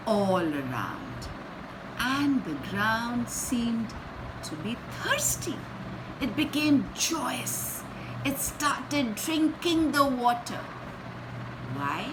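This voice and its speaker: A woman reading a children's story aloud in an expressive, dramatic and teacherly way, pausing between short phrases and repeating words for effect. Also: fairly high pitch, background noise.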